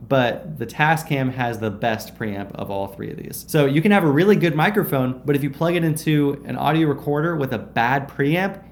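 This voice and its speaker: A young man in his twenties talks through a casual tutorial in an upbeat, explanatory way, his voice sitting at an ordinary middle pitch. He is close on a microphone in a small shelved room, with a faint hiss of background noise underneath. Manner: upbeat, explanatory, gestures a lot while talking. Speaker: video creator teaching audio tips. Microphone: close shotgun mic on a stand in front.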